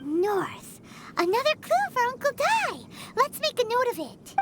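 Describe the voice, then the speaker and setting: A woman voicing a small, curious, childlike companion creature in a video game, squeaky and eager. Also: huge pitch swings, measured pace, noisy background, exaggerated voice. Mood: excited. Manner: exclamatory, eager. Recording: loud music under the voice.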